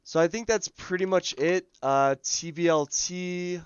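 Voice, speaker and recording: A man's voice: sits high, unhurried, clear, resonant tone, muffled recording. Scratchy-voiced man on a trading stream. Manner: relaxed, filler-heavy, thinking aloud. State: scratchy, losing his voice. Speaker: day trading livestream host. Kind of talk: live market commentary.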